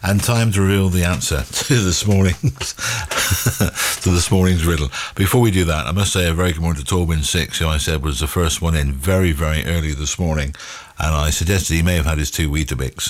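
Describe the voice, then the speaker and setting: A man hosting a morning radio show, chatting with listeners and greeting them by name. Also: sits low. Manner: warm banter with listeners, chuckling asides. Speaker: radio breakfast host.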